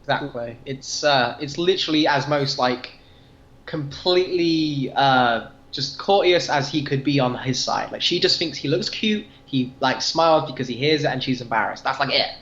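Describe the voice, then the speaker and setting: A man's voice: mid-range voice, moderate pace, fairly bright tone. A man chatting casually as a podcast co-host, rambling earnestly in a fan discussion. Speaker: comic book review podcast co-host. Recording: roomy, slightly dull voice recording.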